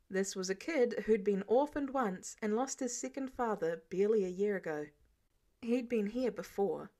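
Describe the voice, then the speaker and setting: A woman reading fiction aloud as an expressive narrator. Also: fairly high pitch. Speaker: amateur audiobook narrator.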